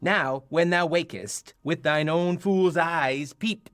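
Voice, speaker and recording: A man's voice: a little high, slow, a bit bright, smooth, dry recording, faint music behind. A man playing a mischievous fairy servant, speaking rhymed Shakespearean verse in a radio play. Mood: brisk, sly.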